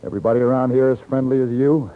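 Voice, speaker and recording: An actor playing a calm, dry-witted traveling gunfighter with an American accent, measured and unruffled, in a 1950s radio Western with first-person narration. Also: male voice, medium pitch, slight background noise, close to an everyday voice. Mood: even, reasoning. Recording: tinny 1950s radio recording with music.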